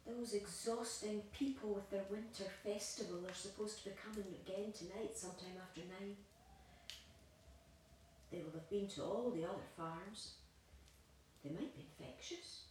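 A woman's voice: mid-range voice, moderate pace, darkish tone, natural voice. Actress playing an anxious, fussing mother, very echoey. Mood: exasperated. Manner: insistent, nagging, doting on her baby. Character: anxious, fussing, controlling young mother and wife. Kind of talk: audio play acting. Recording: very echoey room, distant, faint hiss.

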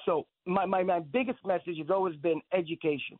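A man's voice: high voice, fairly even intonation, fairly quick, no room sound. A man from Israel, a guest on a radio interview, speaking earnestly and insistently and repeating words as he presses his points. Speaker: guest, actor and director. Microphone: phone. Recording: tinny phone line.

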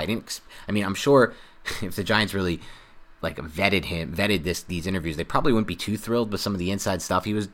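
A man's voice: deep voice, fairly bright tone. A man hosting a football podcast, talking in a loose, chatty way full of asides. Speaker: podcast host. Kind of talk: casual sports podcast chat.

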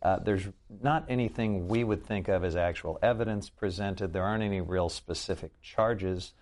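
A man interviewing an author, setting up questions in deliberate, broken-up phrases. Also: low voice, darkish tone. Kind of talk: measured book-interview questioning.

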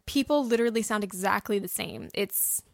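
A woman's voice: mid-range voice, moderate pace, vocal fry, no echo, clean studio sound. A woman co-hosting a casual podcast, giving advice in an emphatic way and acting out examples as she goes. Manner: emphatic, acts out imagined thoughts and quotes. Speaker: podcast host and audio drama producer. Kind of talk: casual behind-the-scenes advice chat with a co-host.

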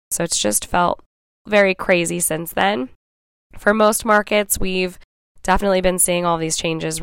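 A woman hosting her own podcast in a casual, chatty way, hoarse and raspy from a cold, often checking in with "right?" and "okay?". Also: mid-range voice, no room sound, studio quality. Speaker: podcast host. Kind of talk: casual solo podcast talk.

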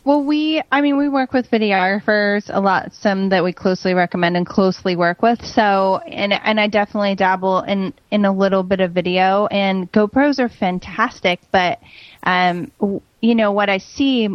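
A woman's voice: mid-range voice, steady tone, moderate pace, dry recording. Woman, wedding photographer, casual chat, muffled lo-fi dry sound. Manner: enthusiastic, rambling with "like" and fillers.

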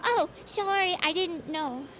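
An actress playing a timid, hopeful young convention-goer with a tentative, apologetic way of talking. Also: female voice, very high-pitched, slow, fairly bright tone, a little hiss or hum, exaggerated voice.

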